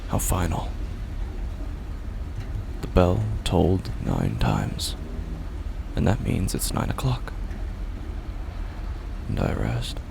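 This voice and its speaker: An actor playing a weary, confused man who clings to rigid rules, narrating a horror monologue in a halting, repetitive, gravelly voice. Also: sits low, background noise, exaggerated voice. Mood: resigned. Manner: hesitant, halting, brooding, repeats himself. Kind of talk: first-person horror audio drama monologue.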